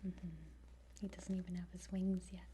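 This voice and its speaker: A woman softly whispering an ASMR roleplay as an attentive dragon training guide, gentle and halting, with frequent pauses. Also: on the low side, monotone, slight background noise, lightly acted. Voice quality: soft, breathy whisper.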